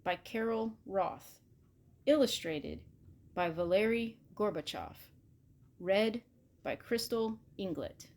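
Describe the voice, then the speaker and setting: A woman reading a children's storybook as expressive scripted narration, deliberately, pausing between short phrases. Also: medium pitch. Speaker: storybook reader.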